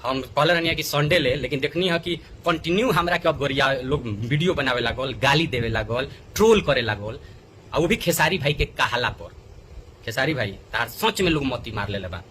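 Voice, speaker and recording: Indignant man with a bright, forceful voice. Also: mid-range voice, lively intonation, normal speed, slight background noise. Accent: Bhojpuri. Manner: indignant, pleading, repetitive. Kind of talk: agitated direct appeal in a video.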